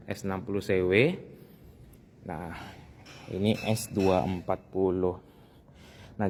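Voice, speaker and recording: A man's voice: sits low, a little mellow, a little hiss or hum. A man gives a casual, conversational explanation as a vlog host, repeating phrases for emphasis. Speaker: sound technician and video host.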